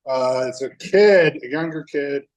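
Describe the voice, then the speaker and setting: A man's voice: medium pitch, a little slow. Candid young man sharing his recovery story. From North Dakota. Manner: candid, loose, with many fillers and restarts. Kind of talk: personal testimony at a recovery meeting. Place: meeting room.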